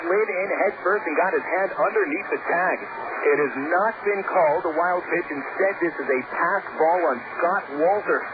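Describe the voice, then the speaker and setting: A man calling a baseball game play by play on the radio, in an easy, conversational way. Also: sits high. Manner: measured, conversational call of the game. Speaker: play-by-play announcer. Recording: narrow phone-like radio broadcast with loud noise.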